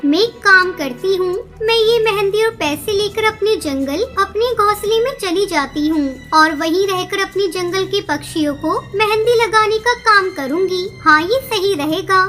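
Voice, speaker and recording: A woman's voice: very high-pitched, moderate pace, few pauses, bright, forceful tone, soft background music. A woman voicing a young orphaned girl bird in a cartoon, with a childlike, earnest manner. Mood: greedy, scheming. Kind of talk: Hindi cartoon dialogue.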